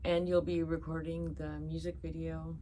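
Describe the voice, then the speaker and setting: Woman host with a very low voice, curious and friendly, faint hiss. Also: level tone, normal speed. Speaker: podcast host. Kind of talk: casual interview questions.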